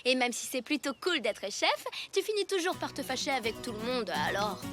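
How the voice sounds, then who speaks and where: A woman's voice: sits high, sing-song, fairly quick. A woman voicing a level-headed, frank child in a French cartoon, with a matter-of-fact manner. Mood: reassuring. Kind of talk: French animated series dub acting. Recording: loud music behind the voice.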